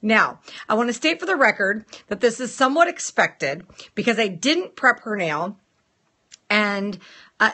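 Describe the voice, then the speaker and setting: A woman hosting a casual live stream, chatting playfully with viewers and greeting them in a sing-song lilt, her voice a little rough from a sore throat. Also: medium pitch, pushed and bright. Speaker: nail salon owner hosting a live stream.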